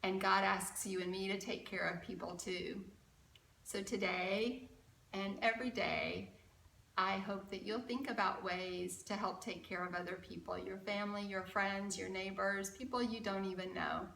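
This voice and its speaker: Children's storyteller, female, bright voice and deliberate pace, recorded at a distance. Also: medium pitch, vocal fry, a little hiss or hum. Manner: expressive, asks the young viewers questions. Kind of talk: animated read-aloud for children. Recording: roomy, from a distant mic.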